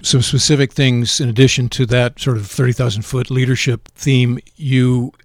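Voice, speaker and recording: A man's voice: medium pitch, flat delivery, moderate pace, no room sound, studio quality. A middle-aged man hosting a podcast, asking questions in a chatty, friendly way. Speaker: podcast host. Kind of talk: podcast interview.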